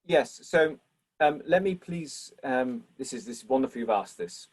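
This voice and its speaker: A man answers questions after his lecture on an online symposium. He talks in a thoughtful, appreciative way at a mid-range pitch, and he thanks the people who ask. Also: lively intonation, normal speed, husky. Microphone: video call.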